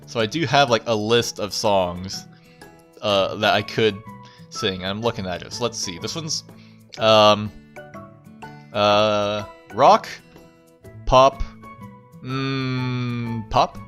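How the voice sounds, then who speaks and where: A man's voice: fairly low pitch, slow, pauses often, a bit bright. A young man hosting a livestream, chatting casually in a self-deprecating way and often repeating his phrases. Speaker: VTuber livestream host. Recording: background music. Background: music.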